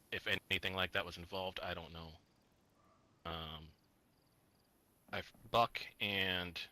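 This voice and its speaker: Low flat-voiced man roleplaying. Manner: rambling, swearing, trailing off. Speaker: roleplay player. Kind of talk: in-character roleplay chat.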